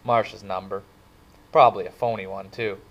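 Cocky teen wisecracker, drawls, throws it out loud, man reading the part. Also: on the low side, fairly even intonation, moderate pace, dull audio. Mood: easygoing. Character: cocky teenage boy, wisecracking and cool.

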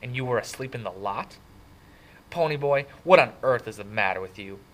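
A man reading a storybook, voicing a furious young man scolding his younger brother in loud, exasperated hollers. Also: medium pitch, animated, normal speed, lightly acted, poor audio. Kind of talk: dramatic audiobook character reading.

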